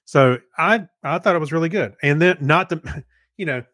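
A man's voice: a little high, moderate pace, a bit bright, dry recording, clean studio sound. A man co-hosting a podcast, giving informal opinions in a rambling, hesitant, conversational way. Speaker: podcast co-host. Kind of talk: casual chat, informal reviews.

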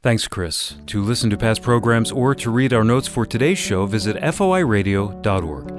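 Lively male radio announcer over soft guitar music. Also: lowish voice, moderate pace. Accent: American. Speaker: radio program announcer and co-host. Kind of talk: scripted radio introduction and announcements.

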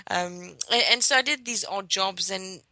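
A woman's voice: mid-range voice, unhurried, pushed and bright, no echo. A young woman from Greece telling her career story as a podcast guest, hesitant with fillers and restarts. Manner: hesitant, many fillers and restarts, self-deprecating. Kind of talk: casual interview storytelling. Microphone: conference call line.

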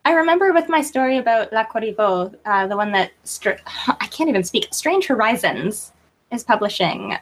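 A woman's voice: on the high side, lively intonation, normal speed, a little hiss or hum. A woman chatting casually as a guest author in an interview, informal and self-deprecating in manner.

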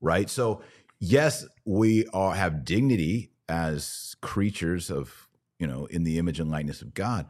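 Deep voiced guy podcast host, walks thru hypotheticals, kinda emphatic explainer. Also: a little slow, darkish tone. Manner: explanatory, emphatic, argues through examples. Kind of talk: conversational debate with hypothetical examples.

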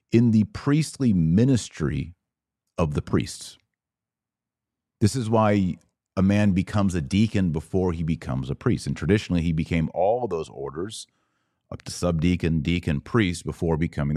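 A man with a low voice delivers religious commentary as a podcast host, recorded close and dry. His intonation swings widely as he teaches, leaning hard on key words with deliberate pauses and repetition. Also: a little mellow, no echo. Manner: didactic, emphatic, with deliberate pauses and repetition for stress. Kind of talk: religious commentary, explanatory monologue.

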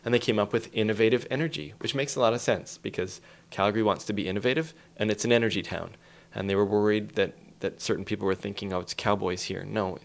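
A man speaking as an interview guest, explaining things in an emphatic, storytelling way with acted-out quotes. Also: on the low side.

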